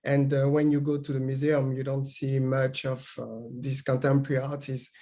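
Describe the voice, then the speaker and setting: Flat-voiced French-speaking man from Gabon. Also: mid-range voice, moderate pace. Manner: hesitant, many fillers and restarts. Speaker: panel guest, founder of an art platform. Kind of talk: panel discussion.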